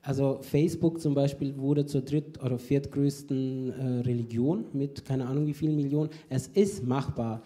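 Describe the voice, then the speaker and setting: A man's voice: medium pitch, fairly even intonation, moderate pace, hardly any pauses, dark tone. Rambling man asking from the audience. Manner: rambling, self-correcting, switching languages. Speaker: audience member asking a question. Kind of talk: spontaneous conference question. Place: conference hall.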